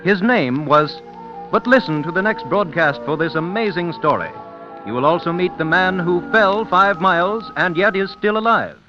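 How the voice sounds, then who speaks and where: A man's voice: high-pitched, moderate pace, over music. A man announcing in a scripted old-time radio style, as in a sponsor message. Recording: dull, lo-fi old radio recording.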